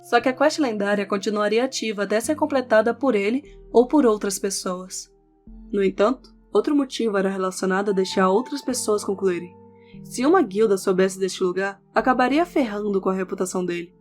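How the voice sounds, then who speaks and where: A woman narrates a scripted audio novel at a middle pitch. Instrumental music with guitar plays beneath her voice. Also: brisk. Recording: background music under the voice.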